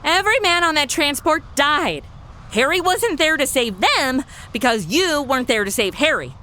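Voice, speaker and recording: A woman's voice: high voice, expressive, moderate pace, bright, forceful tone. A woman playing a brash, flippant young angel trainee with sassy modern slang in an audio comedy. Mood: sassy, exasperated. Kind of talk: comedy audio drama acting.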